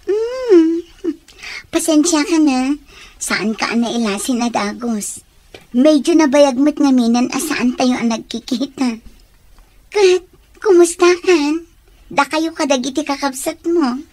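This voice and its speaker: A woman's voice: high-pitched, lively intonation, takes it slowly, long pauses, darkish tone. A woman with an Ilocano accent playing a casual, familiar young female relative in a radio drama. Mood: wistful.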